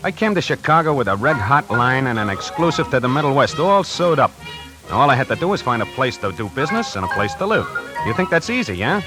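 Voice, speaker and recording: A man's voice: medium pitch, very animated, quick pace, over music, lightly acted, low-quality recording. An actor playing a streetwise, nervous young tie salesman in a 1940s radio play, tough-talking and slangy, on an old radio recording. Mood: uneasy. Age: young adult. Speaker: lead actor narrating.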